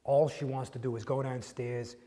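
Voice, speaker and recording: A man's voice: mid-range voice, level tone, dark tone, long reverb tail, close to an everyday voice. A man playing a blunt grieving widower. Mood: tender. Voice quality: profane, halting with repeated restarts. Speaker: widower speaking to a grieving listener. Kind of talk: emotional dramatic monologue.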